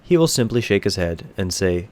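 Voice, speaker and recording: A man reading a scripted second-person bedtime story in a measured, solemn storytelling manner. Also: low-pitched, sing-song, a little mellow, a little hiss or hum.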